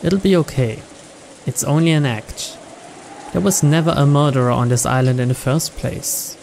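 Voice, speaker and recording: A man's voice: mid-range voice, normal speed, creaky, noisy background. A man reading scripted story narration as host of a visual novel playthrough.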